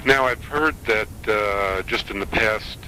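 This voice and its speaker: A man interviewing someone conversationally, with halting, tentative phrasing, on a radio phone interview recording. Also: fairly low pitch, sharp tone, a little hiss or hum, poor audio. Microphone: telephone interview recording.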